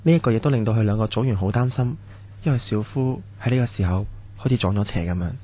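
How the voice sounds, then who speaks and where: A man's voice: lowish voice, fast, dark tone. A man with a Hong Kong Cantonese accent giving scripted narration for a radio horror drama.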